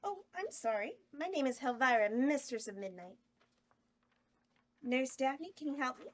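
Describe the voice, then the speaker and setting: A campy, sultry horror hostess with a bawdy, brassy delivery, read by a woman at a table read. Her voice sits high and swings widely in pitch, playful all the way through. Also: animated, normal speed.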